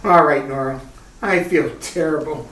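A woman's voice: sits low, a little slow, fairly bright tone, recorded from a distance. A woman voicing an anxious, distraught young male groom in an amateur retro radio drama. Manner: anxious, fretful. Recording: echoey room, off mic.

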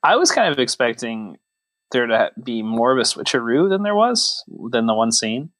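A man's voice: medium pitch, very animated, moderate pace, a bit bright, no echo. A man co-hosting a podcast, chatting casually about films in a wry, mock-serious way. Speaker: podcast host. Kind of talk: casual joking film chat.

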